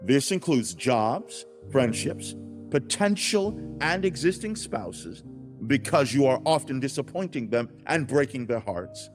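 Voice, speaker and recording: A man's voice: medium pitch, very animated, a little slow. Solemn man narrating as God over music. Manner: solemn, emphatic, preachy, formal wording. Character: God speaking as a loving, grieved, solemn father. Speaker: audiobook narrator. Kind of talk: dramatized scripted religious narration. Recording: music bed under the voice.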